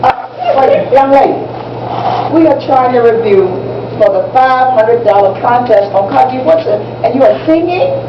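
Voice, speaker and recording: A woman playing an excitable teacher in a stage play, emphatic and repetitive as she coaches students for a contest. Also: high-pitched, moderate pace. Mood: admonishing. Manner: emphatic, repetitive, checks that listeners follow. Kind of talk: stage play dialogue, lecturing. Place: echoey room at a live public performance. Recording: echoey, distant, muffled room recording with noise.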